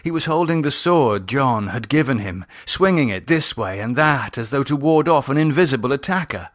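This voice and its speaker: A man's voice: medium pitch, expressive, moderate pace, no echo, clean studio sound. A man reading a horror novel as its author and narrator.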